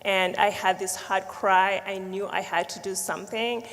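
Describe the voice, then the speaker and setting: A woman's voice: medium pitch, fairly even intonation, moderate pace, a bit bright. Passionate Ugandan-accented woman panelist. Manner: passionate, emphatic. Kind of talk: earnest panel talk. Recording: long reverb of a large hall.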